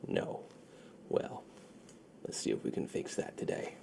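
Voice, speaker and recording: A man doing a soft-spoken ASMR roleplay as a shady, friendly underground dealer, with slow folksy patter that often drops to a near-whisper. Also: heavy background noise. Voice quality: gravelly, low, often breaking into near-whisper.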